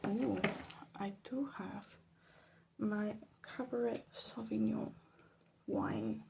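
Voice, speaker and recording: Soft half-whispering woman with creaky voice. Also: mid-range voice, wildly swooping pitch, slow. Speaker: vlog host. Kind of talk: ASMR casual commentary. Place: her bedroom. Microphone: phone. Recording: faint background noise, phone microphone.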